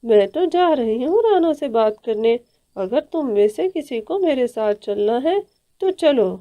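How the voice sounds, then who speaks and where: Cartoon aunt bird female performer: high voice, measured pace, forthright, scolding, fiercely protective. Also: expressive, dark tone. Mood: indignant, rallying. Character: bossy, protective elder aunt bird, outspoken and warm-hearted. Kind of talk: Hindi children's cartoon dialogue.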